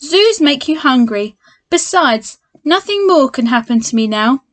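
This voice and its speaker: A polite young boy bear, read by a woman with a fairly high voice. He is earnest and hungry, straight out of a children's storybook. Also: very animated, unhurried.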